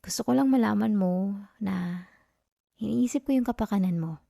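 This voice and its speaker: Soft, mellow Filipino girlfriend, acted by a woman. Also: medium pitch, normal speed, gravelly, no echo, clean studio sound. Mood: gently worried. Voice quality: soft, gentle. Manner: tender, coaxing, intimate. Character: affectionate, caring, playfully scolding young girlfriend. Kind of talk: soft intimate ASMR girlfriend roleplay.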